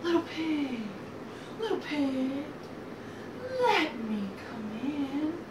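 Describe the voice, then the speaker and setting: A woman voicing a frustrated, blustering big bad wolf in a comic storybook retelling, with dramatic, drawn-out threats. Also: high voice, expressive, exaggerated voice. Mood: menacing. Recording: echoey, distant, noisy room.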